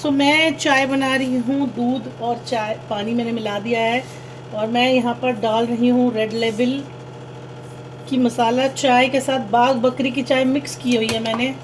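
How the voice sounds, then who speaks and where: A woman's voice: on the high side, fairly even intonation, a little slow, a little hiss or hum, low-quality recording. A young woman chatting casually to viewers while cooking in her home kitchen, explaining each step as she goes. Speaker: vlogger. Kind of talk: casual cooking vlog chat.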